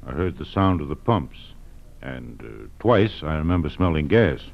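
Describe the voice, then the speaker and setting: A narrow, tinny old radio recording holds a male kidnap victim. His voice is fairly low and he speaks haltingly and unsurely, in 1940s radio acting style. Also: expressive, creaky. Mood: searching memory. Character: hesitant male kidnap victim recalling details.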